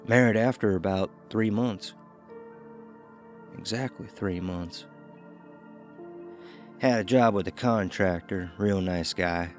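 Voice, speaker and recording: An actor playing a weary, regretful man with terse, plain-spoken talk in an audio drama. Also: sits low.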